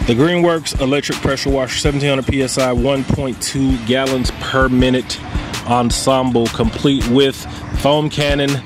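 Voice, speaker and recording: A man's voice: mid-range voice, fairly even intonation, moderate pace, over music. A man hosting his own channel as a pitmaster, narrating an unboxing and review outdoors in a casual, upbeat way. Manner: upbeat and conversational, narrating each step. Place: outdoors in a yard or driveway.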